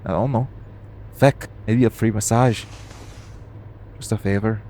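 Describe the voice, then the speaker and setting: A man's voice: on the low side, fairly even intonation, unhurried, mellow, lightly acted. A man playing a brash, foul-mouthed, cocky assassin in an audio roleplay. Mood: cocky, teasing. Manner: brash, sweary. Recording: clear vehicle noise behind voice. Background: vehicle ride ambience.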